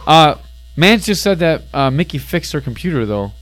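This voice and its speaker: A man's voice: on the high side, sing-song, normal speed, a bit bright, a little hiss or hum. A middle-aged man hosting a casual podcast, ribbing and swearing as he chats. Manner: teasing, crude, sweary. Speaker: podcast host. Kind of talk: casual chat, ribbing banter.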